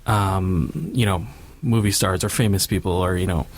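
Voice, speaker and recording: A man's voice: low voice, normal speed, no room sound. A middle-aged man with a Canadian accent, talking casually as an interview guest in a rambling, hesitant way peppered with "you know". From Vancouver, Canada. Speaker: podcast guest, filmmaker.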